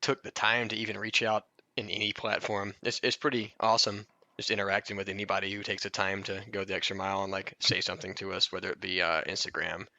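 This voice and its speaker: A man's voice: low voice, level tone, fast talker, vocal fry, bright, forceful tone, no room sound. A man co-hosting a podcast in casual banter, talking in a slangy, blunt, joking way, on a slightly dull recording. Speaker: podcast co-host.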